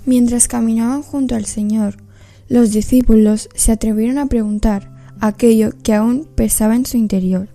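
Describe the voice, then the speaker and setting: A woman's voice: on the high side, steady tone, moderate pace, mellow, soft background music. A woman narrating a scripted radio play as storyteller, solemn and reflective.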